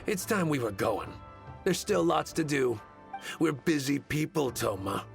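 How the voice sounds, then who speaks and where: A man's voice: a little high, expressive, measured pace, gravelly. A man playing a snide, condescending staff worker in a video game, talking in a curt, superior manner. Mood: dismissive, scolding. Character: snide, busy male commission worker, condescending and gossipy. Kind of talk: video game dialogue acting. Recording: game music behind the voice.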